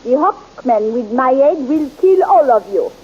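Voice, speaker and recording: A haughty scheming princess. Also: female voice, sits high, lively intonation, measured pace, a little mellow, husky, heavily acted. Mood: imperious. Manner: cold, imperious. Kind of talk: 1930s radio drama acting. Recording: muffled old radio recording.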